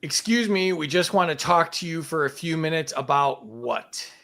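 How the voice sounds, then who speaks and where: A man's voice: fairly high pitch, animated, moderate pace, sharp tone. A man hosting a casual online game show, joking, laughing often and reading out chat comments.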